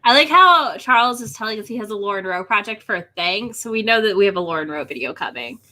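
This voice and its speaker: A woman's voice: medium pitch, animated, fast talker, bright, forceful tone. A woman hosting an online readalong, chatting casually and playfully teasing the others about books. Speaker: host of an online book readalong livestream.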